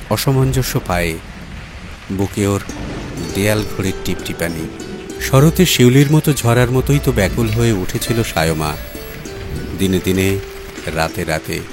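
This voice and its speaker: A man narrates a literary short story in a dramatic audiobook style. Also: lowish voice. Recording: music bed under the voice. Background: background music.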